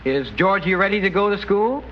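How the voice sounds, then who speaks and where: An actor playing a brash, excitable young college senior, cocky and jive-talking, in an old radio comedy. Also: male voice, high voice, fairly quick. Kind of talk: 1940s radio comedy acting. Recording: noisy dull old radio recording.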